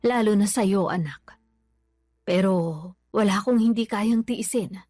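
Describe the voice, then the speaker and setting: Isang babaeng gumaganap na mapagtiis na inang may mahinang musika sa likod. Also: female voice, mid-range voice, measured pace, a little mellow, no room sound, studio quality, lightly acted. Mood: sad, patient. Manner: patient, plaintive. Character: long-suffering, resigned mother of grown children. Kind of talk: Filipino radio drama acting. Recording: faint music behind.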